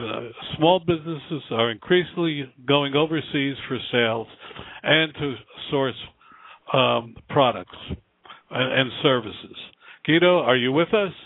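A man's voice: medium pitch, a little slow, dry recording. A man hosting a small business radio show, reading a formal intro and interviewing with many fillers. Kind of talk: scripted intro then interview. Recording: phone-like line.

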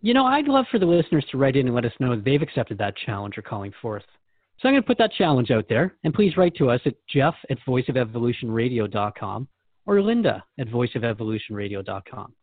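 A man's voice: mid-range voice, sing-song, fast talker, dry recording. A man hosting a radio conversation, engaged and reflective, asking questions and paraphrasing what he hears. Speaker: radio show host. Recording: dry, thin phone-like band.

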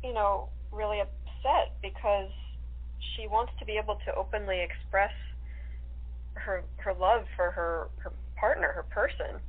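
A woman speaking as an interview guest in casual chat, enthusiastic but pausing to search for words. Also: medium pitch, steady tone, slightly rough. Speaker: radio-play actress, interview guest. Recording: tinny telephone line.

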